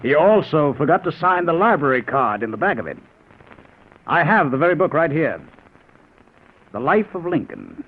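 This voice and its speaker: Husky, excitable radio professor. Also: male voice, fairly high pitch, normal speed, long pauses, heavily acted. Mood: eager, confiding. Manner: eager, explanatory, theatrical. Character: excitable, confident male professor inventing a time machine. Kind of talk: 1950s radio drama acting. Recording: phone-like old radio broadcast recording.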